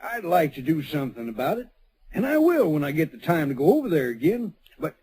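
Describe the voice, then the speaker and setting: An actor playing an easygoing, evasive frontier sheriff with a folksy drawl, in a vintage radio drama. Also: male voice, a little high, big pitch swings, brisk, dark tone, rough recording. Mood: placating. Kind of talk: 1940s radio acting.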